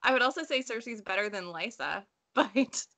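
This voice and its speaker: A woman talking casually and bluntly in an informal book discussion, telling stories in an animated way. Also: on the high side, moderate pace. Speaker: book read-along panelist. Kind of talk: casual discussion, personal anecdotes.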